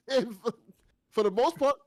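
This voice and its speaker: A man's voice: very high-pitched, expressive, unhurried, dry recording. A man on a discussion panel chatting casually about a story in an informal, slangy, emphatic way. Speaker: panelist on a fiction after-show.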